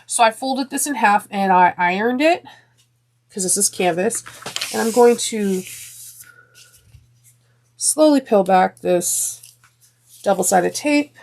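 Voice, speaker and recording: A woman hosting a sewing tutorial, chatting through each step with frequent ums and restarts, her voice slightly deeper and congested from a sinus infection. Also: expressive, slow pace, vocal fry, pauses often, darkish tone.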